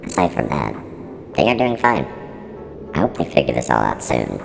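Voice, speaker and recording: Cavernous, growling, snarky service unit. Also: male voice, sing-song, moderate pace, heavily acted. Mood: defensive, casual. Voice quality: very deep, creaky, heavily reverberant. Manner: blunt, flippant, defensive. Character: snarky, evasive service-unit showrunner from a horror studio. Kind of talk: in-character mock interview. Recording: long reverberant echo, music underneath.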